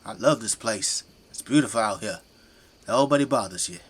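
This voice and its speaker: A man's voice: fairly low pitch, expressive, moderate pace, husky. An actor playing a folksy, teasing middle-aged Midwestern family man who works as a zombie-fighting janitor in an audio drama. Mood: jovial, frank.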